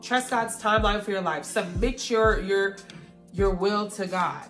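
Hoarse, stuffy-nosed woman vlogger. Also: medium pitch, measured pace, fairly bright tone, echoey room, faint music behind. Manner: encouraging, preachy, addresses viewers directly. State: hoarse and congested. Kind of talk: casual faith-filled catch-up talk.

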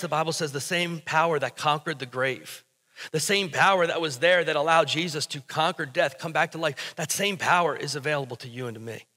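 Bright-voiced American pastor preaching in a hall. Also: male voice, on the high side. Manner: emphatic, conversational, repeats phrases for emphasis. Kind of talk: sermon. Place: stage of a church auditorium, indoors. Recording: reverberant hall sound.

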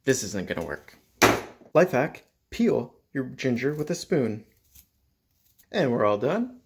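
A man in his thirties hosting a playful how-to cooking vlog at home with mock-serious, deadpan humour. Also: medium pitch, slow pace, heavy background noise. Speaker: cooking show host. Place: home kitchen and dining room.

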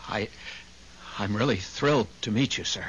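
A man's voice: on the low side, moderate pace, a bit bright, lightly acted. A man acting in a 1970s radio drama as the earnest, flustered spirit of a newly dead husband, eager and stammering. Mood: earnest, troubled. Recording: dull, hissy old radio recording.

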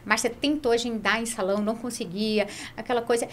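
High-pitched Brazilian woman telling her story. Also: steady tone, quick pace, slight background noise. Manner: frequent "né?" tag questions. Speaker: guest, franchise founder. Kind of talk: conversational interview storytelling. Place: small curtained podcast studio. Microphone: close podcast mic on boom arm.

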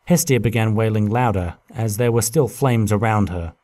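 A man narrating a scripted story in an expressive, dramatic way. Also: lowish voice, moderate pace.

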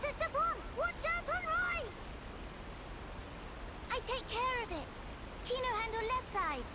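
Squeaky tiny plucky creature. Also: female voice, a little slow. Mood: excited, determined. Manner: simple third-person broken grammar. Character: tiny, plucky childlike furry round creature. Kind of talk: video game cutscene acting. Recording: loud hiss and clicks behind.

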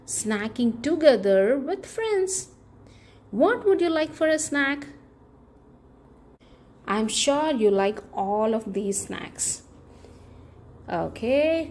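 A woman with a fairly high voice reads a children's picture book aloud. Her pace is very unhurried and her pitch swings widely as she stresses key words. She speaks straight to young listeners, often putting questions to them. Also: a little mellow, slight background noise. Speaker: children's book reader, channel host.

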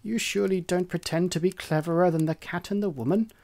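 A storyteller voicing a conceited, bossy hen who lectures in a raised, high voice. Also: steady tone, a little fast, a little mellow, natural voice. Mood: scolding. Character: bossy conceited hen, scolding and self-important. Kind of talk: storybook reading.

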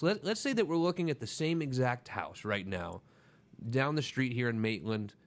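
A man in his thirties hosting a radio talk show, upbeat and conversational, at a desk mic in a small studio. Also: medium pitch. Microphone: desk microphone on boom, headphones.

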